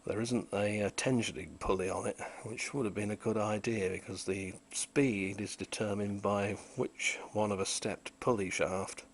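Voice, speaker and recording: This is a man with a fairly low voice, talking through a piece of old equipment in a relaxed, hands-on way. He is technical but unhurried, and he drops in "uh" between phrases as he shows each part. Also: a little hiss or hum. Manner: unhurried, technical, with frequent "uh" pauses. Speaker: vintage electronics restorer. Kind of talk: casual show-and-tell demonstration.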